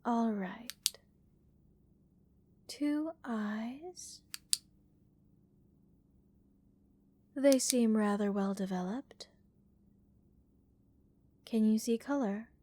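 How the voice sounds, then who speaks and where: A woman playing a gentle, curious alien researcher in a soft-spoken ASMR roleplay, measured and reassuring, with clinical interest. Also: a little high. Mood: curious, studious. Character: gentle, curious female alien researcher examining a captured human. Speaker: voice actor in audio roleplay.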